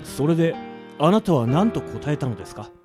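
A man acts out a worried, stern household butler in a radio play. His voice sits a little high, and he talks in stiff, old-fashioned courtesies. Soft music plays behind him. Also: big pitch swings, fairly quick. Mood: grave. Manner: stiff, archaic, very polite speech. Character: formal, stern, worried elderly male butler. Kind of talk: radio drama acting. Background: background music.

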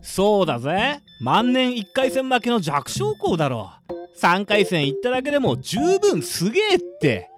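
A man playing a boisterous, teasing high school boy in an amateur radio drama. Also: wildly swooping pitch, moderate pace, music in the background. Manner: brash, teasing.

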